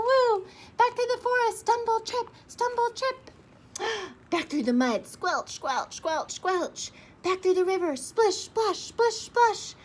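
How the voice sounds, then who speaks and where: A woman giving an expressive picture-book read-aloud for young children, with dramatic gasps and sing-song repeated phrases. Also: very high voice. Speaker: children's storyteller, reading aloud with her young daughter. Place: outdoors. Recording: outdoor ambient noise behind the voice.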